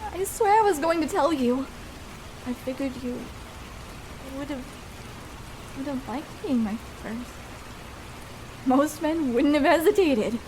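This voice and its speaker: A woman acting a shy, nervous young first-time escort in an intimate roleplay, speaking tenderly and haltingly. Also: high-pitched, low-quality recording. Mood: hurt, apologetic. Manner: hesitant, halting, soft-hearted. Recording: clear noise, rain sounds behind. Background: rain falling.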